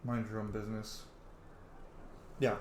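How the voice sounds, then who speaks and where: A man's voice: on the low side, flat delivery, unhurried. A man giving casual, sweary, opinionated commentary while playing through a roleplaying game. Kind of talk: casual reactive playthrough commentary. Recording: roomy sound, faint game noise behind.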